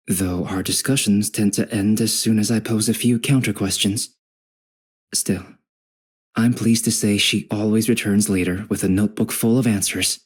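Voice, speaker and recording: A man voicing a calm, scholarly young alchemist in a video game, speaking in a measured, reflective and softly formal way. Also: medium pitch, husky, natural voice. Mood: thoughtful, fond. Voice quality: gentle, calm. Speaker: voice actor playing a video game character. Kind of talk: scripted game character voice lines.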